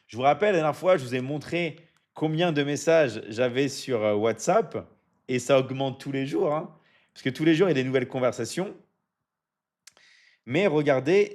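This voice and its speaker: A man from France, hosting a business coaching live stream. He speaks casually and repeats himself a lot, full of fillers and hype, and keeps checking in with his viewers. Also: on the high side.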